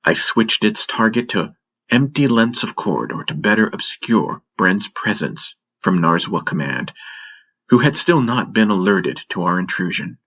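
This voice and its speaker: Deep raspy guy narrating a dramatic sci-fi audiobook, takes it slow, music behind. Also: animated, a bit bright, lo-fi sound. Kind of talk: dramatic scripted first-person narration. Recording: music bed under the voice.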